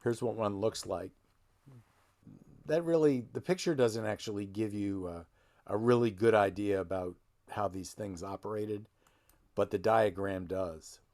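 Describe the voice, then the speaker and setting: A middle-aged man with a gravelly voice hosts a casual genealogy talk, rambling a little and correcting himself as he goes. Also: on the low side, unhurried, darkish tone. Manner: rambling, self-correcting, folksy. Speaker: genealogy channel host. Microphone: desk microphone close to him.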